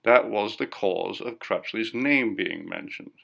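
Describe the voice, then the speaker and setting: A man's voice: fairly low pitch, unhurried, vocal fry, a bit bright. A man narrating a classic ghost story as a scripted audiobook reading.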